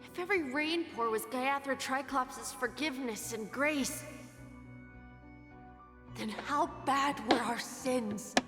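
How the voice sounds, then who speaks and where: A woman's voice: high-pitched, long reverb tail, over music. A woman playing an earnest, grieving young child who questions faith, in a dramatic video game scene. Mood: sorrowful, questioning. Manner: earnest, solemn, measured.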